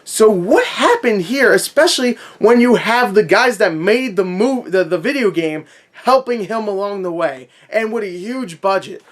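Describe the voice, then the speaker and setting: Man, slightly high voice, YouTube movie reviewer, casual off-the-cuff rant, opinionated, repeats points for emphasis. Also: animated, moderate pace, hardly any pauses. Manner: conversational and opinionated, with emphatic repetition.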